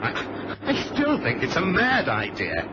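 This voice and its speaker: A man's voice: a little high, wildly swooping pitch, slow, exaggerated voice. A man playing a questioning, skeptical co-pilot in a radio drama. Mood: alarmed, protesting. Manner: questioning, protesting. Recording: noisy, dull vintage radio recording.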